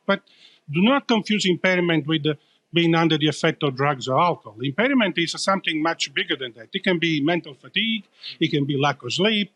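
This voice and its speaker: A man's voice: high voice, fairly bright tone. A man with an Italian accent, explaining his company as a founder being interviewed, in an emphatic, explanatory way. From Italy.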